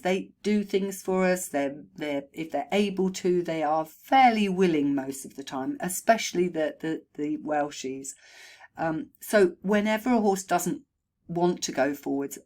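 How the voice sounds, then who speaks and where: A woman horse trainer and behaviourist, hoarse from a chest cold, talking through riding feedback in a recorded voice-over on a new microphone, chatty and full of ums and restarts. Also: on the low side. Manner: chatty, thinking aloud with many ums and restarts. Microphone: new home microphone.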